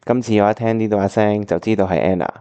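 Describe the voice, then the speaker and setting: A man's voice: on the low side, steady tone, fast talker, mellow, clear, resonant tone, no room sound, lightly acted. A man playing an earnest, reflective bachelor in a Cantonese radio drama, with a Hong Kong accent. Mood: wistful. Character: earnest, reflective bachelor looking back on old love.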